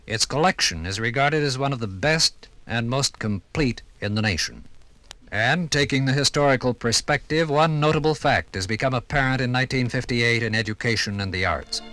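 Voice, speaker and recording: Bright-voiced American man, solemn radio narrator. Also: medium pitch, moderate pace. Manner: solemn, declamatory, earnest. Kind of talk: 1950s radio documentary narration, scripted and dramatic.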